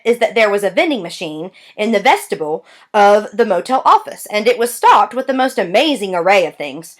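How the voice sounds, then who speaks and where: A woman reading a children's novel aloud with expressive storytelling. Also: medium pitch. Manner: expressive storytelling with lively voice changes. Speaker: reader.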